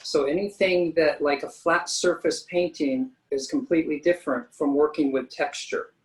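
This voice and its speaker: A man's voice: a little high, fairly even intonation, moderate pace, rough recording. A man working as a special effects artist, telling stories in a chatty, enthusiastic way during an interview. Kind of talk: casual interview answers.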